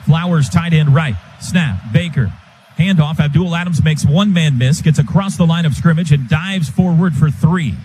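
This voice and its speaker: A man's voice: on the high side, normal speed, low-quality recording. An American man calling live football play-by-play on the radio in clipped phrases that rise with the action. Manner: clipped phrases, excited calls rising with the action. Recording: loud stadium noise behind radio broadcast. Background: stadium ambience.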